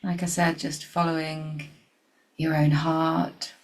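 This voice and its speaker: Slow, creaky-voiced woman guiding meditation. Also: low voice, level tone. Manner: soothing, unhurried, gentle, with long pauses. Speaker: meditation guide. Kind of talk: guided meditation.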